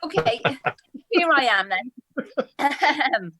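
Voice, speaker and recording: A woman's voice: high-pitched, lively intonation, takes it slowly, sharp tone, heavily acted. An actress playing a cheerful, chatty village woman, a playful amateur singer in a radio comedy. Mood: jolly. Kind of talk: radio comedy drama acting.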